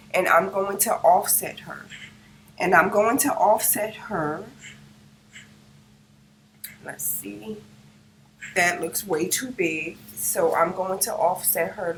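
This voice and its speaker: Woman, hoarse, lowish voice, casual tutorial narrator talking through each step without a mic, faint room hiss. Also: takes it slowly, pauses often, a bit bright, rough recording. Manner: talks through each click as she works, checking in with "okay?". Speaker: crafting tutorial host. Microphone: no microphone, device's built-in mic.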